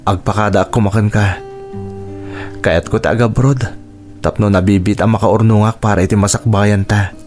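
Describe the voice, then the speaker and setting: Actor as a low-voiced, earnest young man. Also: fairly even intonation, moderate pace, darkish tone, faint music behind, natural voice. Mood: tender, hopeful. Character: earnest, dutiful young man, loving son and sweetheart. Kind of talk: radio drama acting.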